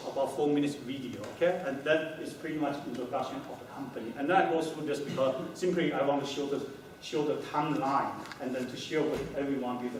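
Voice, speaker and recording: A man's voice: mid-range voice, fairly even intonation. Man speaking in an echoey room. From Taiwan. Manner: halting, many fillers and restarts. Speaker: company founder giving a talk. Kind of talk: conference talk to a small audience. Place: hotel meeting room, indoors. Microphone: far camera, no handheld mic. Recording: echoey room from far off, noisy.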